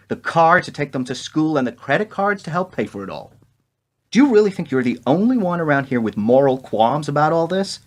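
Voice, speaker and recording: An actor playing a blunt, argumentative presidential adviser, a family man with strong convictions, in a radio play. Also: on the high side. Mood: frank, deferential. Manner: earnest, argumentative.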